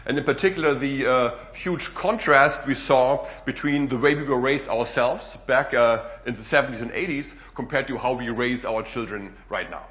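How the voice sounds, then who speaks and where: A middle-aged man with a German accent gives a talk to an audience, at a mid-range pitch. He speaks in a loose, conversational way, with plenty of "uh" and "you know" between thoughts. The sound carries an echo from the room. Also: normal speed, few pauses. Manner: relaxed, frequent "uh" and "you know" fillers. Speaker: guest speaker, economics professor. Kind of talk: public lecture.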